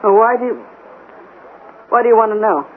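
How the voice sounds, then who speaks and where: Woman acting feisty suspicious mother, high voice. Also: fairly quick, lightly acted. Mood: suspicious, defiant. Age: middle-aged. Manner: sharp-tongued, suspicious. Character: feisty, defensive mother who cleans offices at night. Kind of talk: 1970s radio drama acting. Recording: tinny, noisy old radio broadcast.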